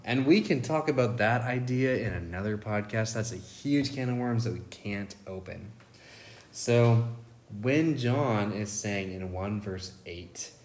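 A man's voice: on the low side, a little mellow. A man co-hosting a casual Bible study podcast, thoughtful and enthusiastic, often restarting his phrases. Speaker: podcast co-host.

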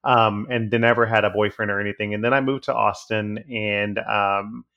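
A man talks casually as a podcast guest. He speaks in an easygoing, halting way, with plenty of ums and restarts. His voice sits a little low. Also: level tone, gravelly, muffled recording. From Texas. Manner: easygoing, halting with many fillers. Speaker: guest, hospice nurse.

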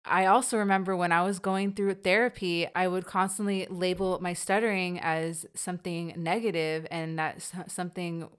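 A woman who stutters, hosting a personal interview with her mother in a reflective, halting way. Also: on the low side, fairly even intonation, clean tone. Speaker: podcast host.

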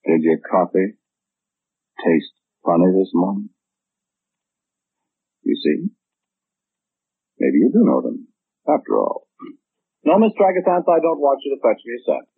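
A man's voice: on the low side, huge pitch swings, a little slow, vocal fry, long pauses, breathy edge, full character voice. An actor playing a blandly self-assured know-it-all man in a 1940s radio drama, speaking matter-of-factly with fussy, precise wording on a muffled old broadcast.

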